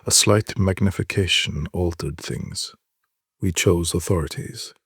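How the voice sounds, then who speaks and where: Husky, low-voiced man reading literary fiction. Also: unhurried, fairly bright tone, no echo, clean studio sound. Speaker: narrator reading short stories.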